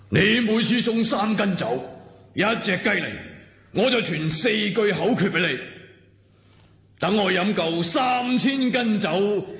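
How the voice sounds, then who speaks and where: A male actor with a Hong Kong Cantonese accent playing a sly, boisterous old imprisoned martial arts master in a radio drama, with gravelly, drawn-out, taunting speech full of grunts and chuckles. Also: high-pitched, animated, fairly bright tone, heavily acted. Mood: sly, gloating. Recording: echoey, cavernous reverb, some music.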